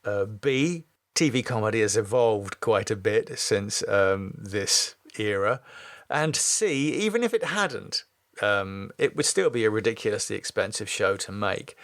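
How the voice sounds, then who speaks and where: A man's voice: lowish voice, fairly bright tone, no room sound. Brief: middle-aged British male podcast host and writer, conversational storyteller, very dry recording. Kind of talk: scripted screenplay reading and casual podcast talk.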